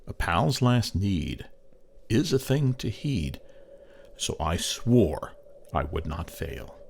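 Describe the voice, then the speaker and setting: A man narrating a poem as a dramatic recitation, theatrical and measured, with long pauses. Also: deep voice, very animated, creaky, darkish tone, a little hiss or hum.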